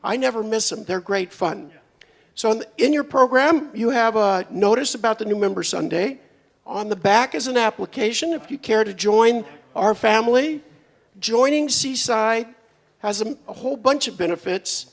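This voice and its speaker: A hoarse man giving upbeat, chatty membership announcements at a church service. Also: high voice, moderate pace. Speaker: membership speaker at a spiritual center.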